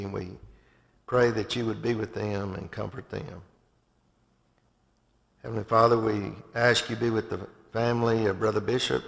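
An older man standing at a lectern in an empty church sanctuary, giving announcements and then leading a prayer in a halting way, with many restarts. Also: fairly low pitch, creaky, fairly bright tone. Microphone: far camera from above, speaking at a lectern. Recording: long hall reverb from a large sanctuary.

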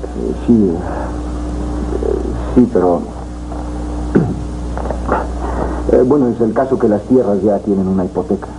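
An actor playing a courteous, halting middle-aged landowner in a 1970s telenovela. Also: male voice, fairly low pitch. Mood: hesitant, hopeful. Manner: halting, polite. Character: hesitant, courteous male landowner in debt. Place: indoor studio set. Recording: muffled, noisy old TV tape.

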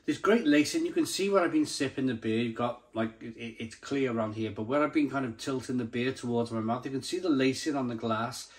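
A man presents an informal tasting review in a medium-pitched voice. He speaks off the cuff, straight to the viewer, with open enthusiasm. He likes to linger on descriptive words and repeat them as he savours what he is describing. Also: brisk, hardly any pauses. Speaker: beer review channel host. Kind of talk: casual unscripted tasting review to camera.

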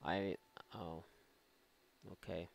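A man's voice: low voice, level tone, extremely slow, creaky, faint music behind. A man giving casual, rambling and sarcastic let's play commentary, swearing freely as he goes. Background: video game ambience.

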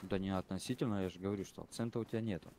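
Very low-voiced man, casual gamer chat, crude and rambling, light game noise. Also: steady tone, very fast, dark tone. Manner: blunt, swears freely, rambling.

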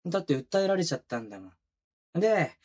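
A man playing a cold, sadistic detective, one of twins, condescending and menacing in a breathy, husky voice for a close-miked ASMR drama. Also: on the high side, very animated, fast talker, a little mellow. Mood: coaxing. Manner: condescending, coaxing then commanding. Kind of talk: Japanese situation voice drama, binaural ASMR. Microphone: close binaural microphone.

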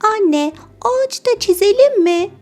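A small animal child in a children's cartoon, acted by a woman. The voice is very high and childlike, set over background music. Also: lively intonation, measured pace, dark tone. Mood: curious.

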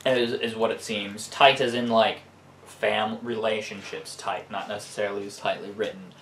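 A man's voice: lowish voice, flat delivery, normal speed, fairly bright tone. Echoey American man, thinking aloud. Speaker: co-host giving opinions. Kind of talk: casual discussion. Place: living room couch, indoors. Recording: roomy echo, faint background noise.

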